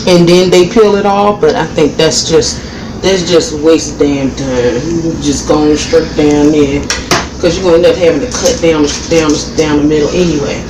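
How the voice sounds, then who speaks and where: A woman's voice: low voice, steady tone, moderate pace, distant mic, background noise. A woman, chatting casually as she cooks and sniffling from a cold. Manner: chatty, laid-back, laughs often. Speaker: vlogger cooking at home. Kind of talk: casual cooking chat. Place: small home kitchen. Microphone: camera across the kitchen. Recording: roomy kitchen sound from off-mic.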